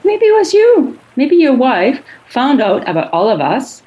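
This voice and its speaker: A woman playing an excitable, sharp-tongued cruise passenger in a radio play, dramatic and challenging. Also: high-pitched, unhurried, lo-fi sound, full character voice. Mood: defiant.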